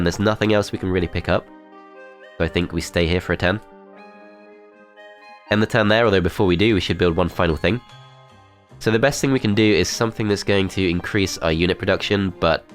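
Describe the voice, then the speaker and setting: A man with a cold and a blocked-up, nasal voice, calmly talking through his moves in a strategy game. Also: low-pitched. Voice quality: nasal, congested. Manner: calm, methodical, thinking aloud. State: has a cold, blocked-up nose. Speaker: gameplay commentator. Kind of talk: strategy game let's play commentary.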